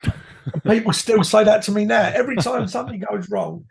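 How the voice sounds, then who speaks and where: A man, hoarse from a cold, a guest reminiscing about his years as a firefighter in rambling, self-correcting talk. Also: high voice, normal speed, noisy background. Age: 50s. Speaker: podcast guest, retired firefighter. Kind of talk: reflective conversational storytelling.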